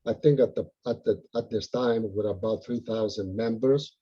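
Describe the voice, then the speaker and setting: A man's voice: fairly low pitch, moderate pace, slightly rough, muffled recording. A man with an Italian accent, a local butterfly group organizer, talking earnestly and rambling with many fillers on a video call.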